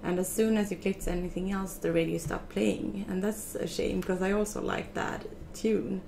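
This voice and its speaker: A woman's voice: medium pitch, steady tone, moderate pace, gravelly, darkish tone, a little hiss or hum, rough recording. A woman in her twenties hosting a gaming video, chatting casually to camera at a desk in a small room. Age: 20s. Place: small furnished room indoors.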